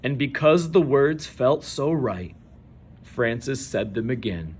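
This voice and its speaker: A man reading a children's story aloud, with measured delivery and dramatic pauses. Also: medium pitch, lively intonation, breathy edge. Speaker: storybook reader. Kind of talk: expressive children's read-aloud narration.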